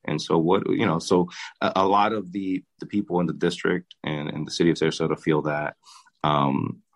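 Very deep-voiced young man, halting. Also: fairly even intonation. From Dominican Republic. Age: young adult. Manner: halting, with many restarts and repeated words. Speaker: mayor and city commissioner. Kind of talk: casual interview answers.

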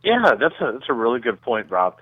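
A man's voice: medium pitch, very animated, brisk, husky, no echo. A man speaking as an expert interview guest, explaining things in a conversational way. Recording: narrow phone-like line.

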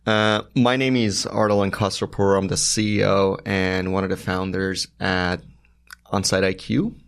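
A man's voice: low voice, level tone, unhurried, vocal fry, a bit bright, clean tone. A man from Iran, a startup founder, explaining his business methodically as a podcast guest. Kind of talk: interview conversation.

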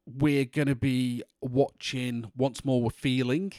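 Man, monotone, rambling, podcast co-host, dry close mic. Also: medium pitch, slow pace, no echo. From England. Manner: rambling, many restarts and fillers. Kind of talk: casual chat.

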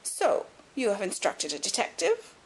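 A woman voicing a miserly, querulous little old lord in an audiobook, sharp and crackling, with a quick, indignant intensity. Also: mid-range voice, huge pitch swings, breathy edge, heavily acted. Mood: suspicious, demanding. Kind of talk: audiobook character acting.

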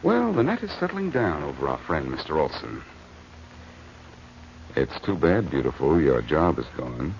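An actor playing a smooth, wisecracking amateur detective with an American accent in a 1940s-style radio drama, brisk and flirtatious. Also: male voice, extremely low pitch, sing-song, full character voice. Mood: businesslike. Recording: muffled, noisy old radio recording.

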